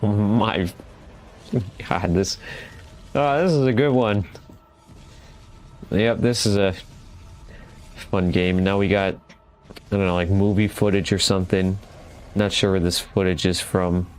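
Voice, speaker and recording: A man giving casual, halting playthrough commentary as the host of a gaming video, rambling as he goes. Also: deep voice, steady tone.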